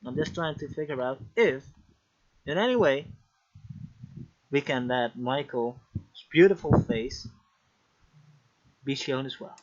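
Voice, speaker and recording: A man's voice: mid-range voice, lively intonation, takes it slowly, pauses often. A man hosting a casual live stream on a video call, chatty and joking while fighting technical trouble. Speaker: host. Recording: slightly dull lo-fi stream audio.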